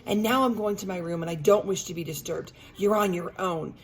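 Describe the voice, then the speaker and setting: A woman voicing a strict, brisk woman spy instructor in a children's storybook, with a stern, formal, superior manner. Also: fairly low pitch, slight background noise, lightly acted. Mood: firm, superior.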